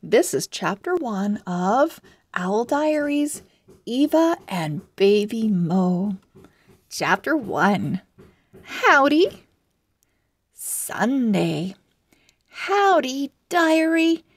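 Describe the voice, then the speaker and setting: A woman reading a children's book aloud as host, with deliberate, expressive phrasing for young readers. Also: medium pitch, husky.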